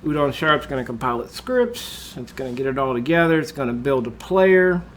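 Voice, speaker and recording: A man, congested from a cold, talking through a screen-recorded software tutorial in a relaxed way, with lots of uh and um. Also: medium pitch, a little fast, a little hiss or hum. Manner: relaxed, with frequent uh and um fillers. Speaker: tutorial host.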